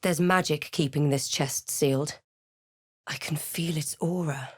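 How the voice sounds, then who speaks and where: Measured, low-voiced fantasy adventuress. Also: female voice, steady tone, slightly rough, no echo, studio quality. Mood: alert, wry. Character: snarky, self-possessed female fantasy adventurer and spellcaster. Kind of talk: video game voice lines, narration and incantations.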